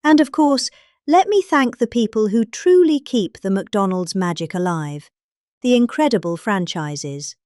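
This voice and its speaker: Pompous British woman with swooping, animated delivery. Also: mid-range voice, no echo, clean studio sound. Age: middle-aged. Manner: pompous, exaggerated emphasis. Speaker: performer reading out a political speech. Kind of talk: dramatic comic reading of a speech.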